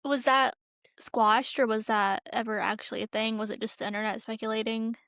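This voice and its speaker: Young woman chatting, higher-pitched voice, close dry sound. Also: little pitch movement, brisk, fairly bright tone, no echo. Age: young adult. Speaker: podcast co-host. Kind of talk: casual pop culture chat.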